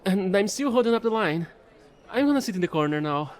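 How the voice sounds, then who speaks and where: A man playing a chatty, excitable fallen alien angel who trades in space, gushing and rambling in an audio roleplay. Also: high-pitched, brisk. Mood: flustered, apologetic. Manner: bubbly, gushing, rambling, apologetic.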